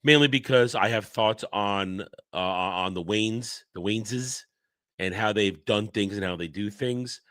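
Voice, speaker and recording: A man's voice: fairly low pitch, unhurried, fairly bright tone, no room sound, studio quality. A man hosting a casual livestream, sick, congested and tired, talking bluntly and rambling with restarts. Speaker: livestream host.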